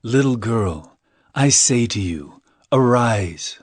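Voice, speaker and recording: A man playing a calm, authoritative teacher and healer in a dramatized Bible reading, deliberate and commanding. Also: mid-range voice, breathy edge, no room sound, clean studio sound. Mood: assuring.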